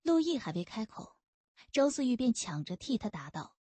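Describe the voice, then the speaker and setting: A woman reading a historical novel aloud as an expressive audiobook narrator. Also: fairly high pitch, normal speed, slightly rough, no echo.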